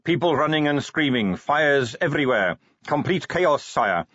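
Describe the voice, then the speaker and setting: A man's voice: mid-range voice, moderate pace, fairly bright tone, no echo, lo-fi sound, lightly acted. A man reading an audiobook as a luckless dwarf captain with a nervous, deferential, protesting manner. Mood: pleading protest.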